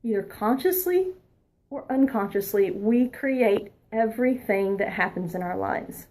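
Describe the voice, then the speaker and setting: A woman past her twenties giving a spiritual oracle card reading, earnest and encouraging, sometimes dropping into a soft whisper. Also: fairly high pitch, lively intonation, unhurried. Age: adult past her twenties.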